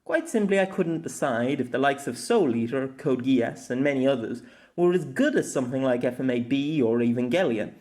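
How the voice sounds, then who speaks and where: A man narrating a scripted opinion essay as host, in deliberate, formal phrasing with colloquial asides. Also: medium pitch, animated, darkish tone. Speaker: host of an anime audio essay.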